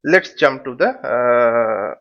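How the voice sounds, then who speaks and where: A man giving an informal tutorial in a halting, step-by-step way, repeating phrases and checking with short questions. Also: medium pitch, lively intonation, a bit bright, highs cut off. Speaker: flight simulation instructor and presenter.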